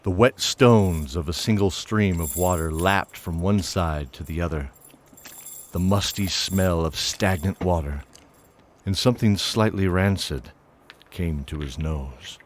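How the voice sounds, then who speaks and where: A man's voice: deep voice, takes it slowly. A man reading scripted narration for a fantasy audio drama in a descriptive storytelling manner.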